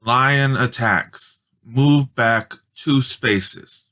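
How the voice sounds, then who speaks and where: Guy doing a bossy big sister kid from a storybook, pitched up, very deliberate and emphatic. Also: pushed and bright. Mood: bossy, instructive. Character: bossy, determined young girl, older sister.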